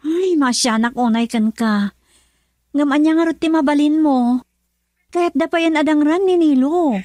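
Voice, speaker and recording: A high female voice with a Filipino accent, close and dry. She is the concerned confidante of a radio drama, earnest and full of counsel. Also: unhurried, darkish tone, no room sound. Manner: earnest, advising. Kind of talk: Ilocano radio drama acting.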